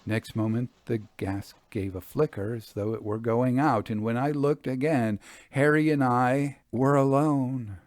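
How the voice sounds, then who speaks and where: A man reading an old-fashioned ghost story in a deliberate, theatrical, suspenseful way, with dramatic pauses. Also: medium pitch, steady tone, a little mellow, dry recording, studio quality. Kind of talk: dramatic audiobook narration of a Victorian ghost story.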